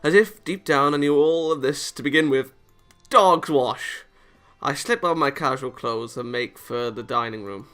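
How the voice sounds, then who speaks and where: A man with a bright, forward voice reads a visual novel aloud in a casual let's-play style. He mixes narration with wry asides. Faint game music plays behind him. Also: mid-range voice, a little slow. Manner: wry interjections between read lines. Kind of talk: casual read-aloud commentary.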